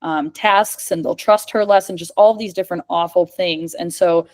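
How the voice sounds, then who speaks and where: A woman's voice: on the low side, steady tone, moderate pace, darkish tone. A woman who is a speech-language pathologist and communication coach, answering questions in a casual chat over a video call, with a lot of "like" in her speech. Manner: chatty, fillers of "like".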